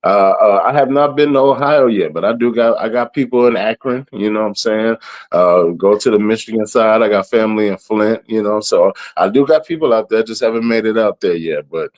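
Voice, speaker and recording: A raspy man in his thirties from the southern United States, talking about his music in a relaxed, rambling way. His voice sits a little low, and he often repeats words and says "you know" as he goes. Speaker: rapper and event planner. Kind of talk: casual interview.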